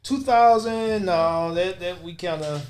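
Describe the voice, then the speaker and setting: Male panelist, slightly high register, conversational, clear chatter of others around him. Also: unhurried. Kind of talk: casual panel chat.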